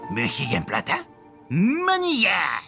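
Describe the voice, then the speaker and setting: A goblin-like monster from an anime game. It grunts crude short phrases in a creaky, piercing voice that lurches in pitch. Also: wildly swooping pitch, slow, bright, forceful tone, soft background music, full character voice. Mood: gruff. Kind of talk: anime video game creature voice.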